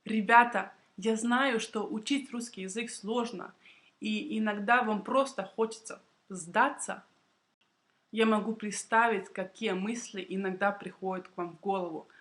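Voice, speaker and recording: A young woman, a native Russian speaker, tells a prepared personal story to camera for language learners in a deliberate, clearly phrased, expressive way. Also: mid-range voice. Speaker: Russian language teacher and video blogger.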